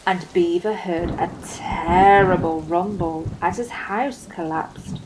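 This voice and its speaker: A woman reading a picture book aloud to children as an expressive storyteller, leaning deliberately on key words. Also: fairly low pitch, noisy background.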